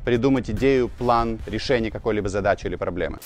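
A man in his thirties, a native Russian speaker, giving an informal English lesson to camera outdoors and slowly repeating key phrases. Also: on the low side, over loud music. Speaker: English teacher, video host. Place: outdoors on a narrow old-town street. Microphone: lapel mic.

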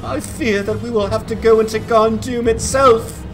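Man acting a young warrior woman, high voice, urgent, earnest, fantasy game drama, music behind. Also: fairly even intonation, normal speed. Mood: anxious, urgent. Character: anxious young woman warrior seeking her captive father. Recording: loud music under the voice.

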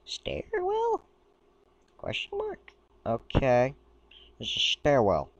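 Halting young-sounding man, a bit sick. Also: mid-range voice, wildly swooping pitch, no echo, highs cut off. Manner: rambling, halting, reads aloud with stumbles. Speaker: gaming video creator. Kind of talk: casual screen-recording commentary.

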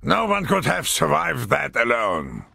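An actor playing a gruff, resolute older military veteran in a video game, with a gravelly voice and dry commanding manner. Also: male voice, medium pitch, huge pitch swings, measured pace, sharp tone, no echo, full character voice. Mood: determined. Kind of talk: video game cutscene acting. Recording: cinematic music behind.

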